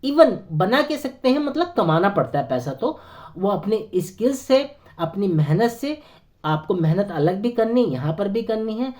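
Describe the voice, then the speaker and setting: Hoarse guy w a sore throat pitching network marketing, earnest, lots of ums, kinda mellow dark voice. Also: big pitch swings, measured pace. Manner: earnest, persuasive, many hesitant fillers. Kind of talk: persuasive motivational talk to camera.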